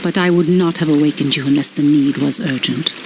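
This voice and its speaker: Een vrouw speelt een trotse elfenpriesteres. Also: female voice, low voice, fairly even intonation, a little fast, background noise, natural voice. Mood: proud. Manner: proud, scornful. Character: proud female elf priestess, fierce and scornful. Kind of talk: game cutscene acting. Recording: voice over loud music.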